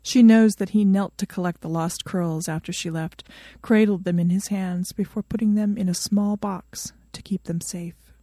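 A woman narrates a piece of fiction from a script. Her voice is dark and soft-edged at a middle pitch, recorded close with almost no room sound. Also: fairly even intonation, normal speed, few pauses, dry recording. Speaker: fan fiction reader.

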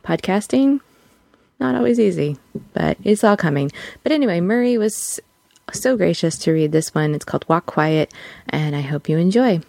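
A woman's voice: lowish voice, lively intonation, moderate pace, creaky, mellow, no echo. A woman hosting a podcast in casual, informal chat, acting out imagined remarks as she goes. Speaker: podcast host. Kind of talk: casual chat introducing a fan fiction reading.

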